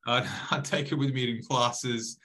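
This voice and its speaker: Bright-voiced man, friendly guest. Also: medium pitch, steady tone, unhurried. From Croatia/Serbia, raised in Australia. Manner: friendly, frequent fillers. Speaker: podcast guest. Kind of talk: casual interview.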